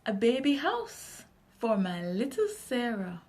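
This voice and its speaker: A woman with a Jamaican accent voicing a kindly old grandfather in a children's story, gentle and measured. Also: fairly high pitch, sing-song.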